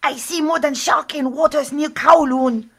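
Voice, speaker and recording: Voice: high-pitched, normal speed, gravelly, a bit bright. An actor playing an aged but sturdy fisherman in an old-time radio drama, speaking blunt broken English in short phrases. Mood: blunt, insistent.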